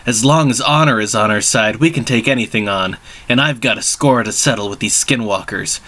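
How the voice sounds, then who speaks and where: A man's voice: on the low side, fairly quick, sharp tone. A man voicing an eager, honor-bound swordsman hero in an earnest story reading. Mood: determined.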